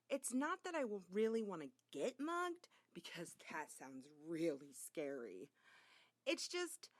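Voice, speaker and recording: A woman reading a children's story aloud as a narrator, in an expressive storytelling way. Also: fairly low pitch, dry recording. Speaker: reader.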